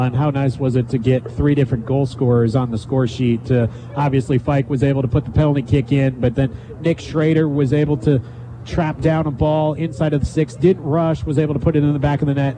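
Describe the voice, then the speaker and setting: A man's voice: mid-range voice, steady tone, fairly quick. A man working as a sports broadcaster, asking wordy, conversational questions in a post-game interview on a headset mic. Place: press box overlooking a soccer field at night. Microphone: headset microphone. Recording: clear outdoor stadium background noise.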